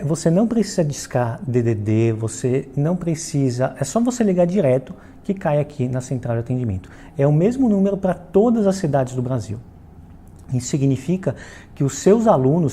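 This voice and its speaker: A man's voice: a little high, mellow, slight background noise. A Brazilian man from Salvador giving an explanatory question-and-answer talk as a franchise founder, in a didactic way with frequent check-in tags. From Salvador, Bahia, Brazil.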